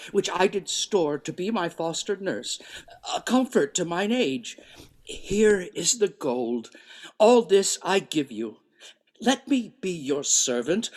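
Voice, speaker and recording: An actor playing an elderly, loyal and anxious male servant in a Shakespearean radio play, earnest and halting in old-fashioned verse. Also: medium pitch, big pitch swings. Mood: devoted, earnest.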